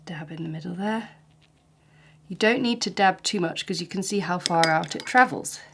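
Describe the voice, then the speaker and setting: Woman, art teacher, slightly snuffly from hay fever, gentle chatty demo delivery. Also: on the low side, normal speed, vocal fry, slight background noise. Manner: gentle, chatty, encouraging demonstration. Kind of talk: painting tutorial.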